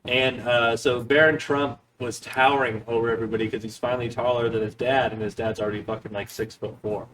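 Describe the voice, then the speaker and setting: A man's voice: medium pitch, level tone, a little fast, hardly any pauses, fairly bright tone, a little hiss or hum. Young male podcast co-host, mid-range voice, off-mic, meandering crude storyteller. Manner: rambling, swears casually. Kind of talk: casual crude banter. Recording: voice distant from mic.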